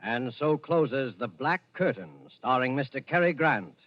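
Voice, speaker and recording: A male programme announcer presenting in a formal, measured and dramatic 1940s radio style. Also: medium pitch, animated. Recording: dull vintage radio recording with music.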